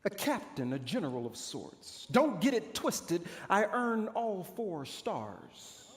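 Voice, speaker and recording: A proud, bitter military commander of old , played by a man declaims to an audience in a theatrical monologue. His delivery is pompous and very animated, broken by dramatic pauses. The voice rings through a big hall with long reverb. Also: high-pitched, heavily acted. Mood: boastful. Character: proud, boastful male army commander of ancient Israel's era, bitter. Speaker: pastor performing a monologue. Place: large church hall stage.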